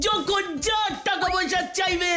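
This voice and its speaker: A woman voicing a cheeky, eager young pal in a cartoon, loud and forceful. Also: very high-pitched, unhurried, soft background music, exaggerated voice. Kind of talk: exaggerated cartoon voice acting.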